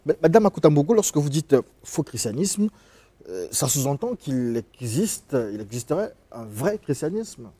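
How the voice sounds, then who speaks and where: A man's voice: a little high, sing-song, normal speed, warm tone, breathy edge, no echo. A man from the Republic of the Congo hosting a radio interview, courteous and hesitant, with many fillers. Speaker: radio host.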